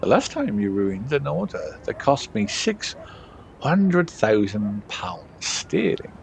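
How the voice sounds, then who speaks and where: A man's voice: medium pitch, sing-song, takes it slowly, creaky, a little hiss or hum, pitched above the performer's own voice, exaggerated voice. A man voicing a curt, stern boss who hands out orders in a clipped, dismissive way, read from a game story. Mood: indignant.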